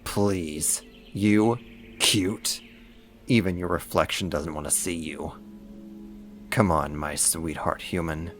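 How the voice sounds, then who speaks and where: A man playing a big possessive werewolf in a roleplay audio drama, gravelly, smug and flirtatious while taunting his rival. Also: sits low, steady tone, slow pace, faint music behind. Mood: mocking, then boastful, coaxing. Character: big cocky possessive male werewolf, smitten and boastful.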